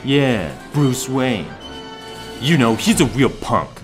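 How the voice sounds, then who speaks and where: A man's voice: medium pitch, big pitch swings, takes it slowly, over music. A man acting a hostile street thug in a fan audio drama, sneering and menacing. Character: hostile male street thug picking a fight.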